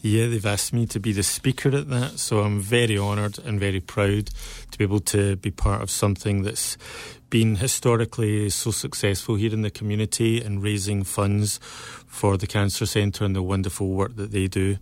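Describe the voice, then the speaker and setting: Earnest Scottish man, low voice. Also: level tone, darkish tone. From Scotland. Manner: earnest, enthusiastic, measured. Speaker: guest, cancer survivor and author. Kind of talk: local interview.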